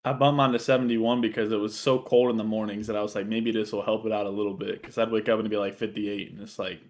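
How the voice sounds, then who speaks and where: A man's voice: fairly low pitch, little pitch movement, fast. A slightly tired man co-hosting a podcast in a casual, conversational way. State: a little tired. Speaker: podcast co-host. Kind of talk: casual chat.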